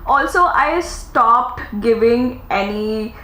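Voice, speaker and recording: A woman's voice: on the high side, slow pace. Chatty woman in her thirties, echoey room. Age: 30s. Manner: earnest, chatty, with animated quoted asides. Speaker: podcast host. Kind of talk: casual reflective monologue. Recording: echoey room with faint noise.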